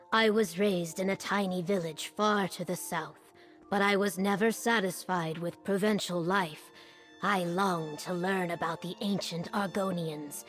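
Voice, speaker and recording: An actress playing a dignified Argonian governor and veteran leader in a video game, measured, earnest and formal. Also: female voice, mid-range voice, natural voice. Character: dignified female Argonian war-leader turned governor, solemn. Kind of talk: scripted video game dialogue. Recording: music behind the voice.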